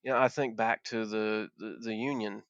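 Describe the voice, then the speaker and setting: Earnest low-voiced man from Appalachia. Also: fairly even intonation, no room sound, dull audio. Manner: earnest, halting with frequent restarts. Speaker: guest, former coal miner. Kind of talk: interview conversation.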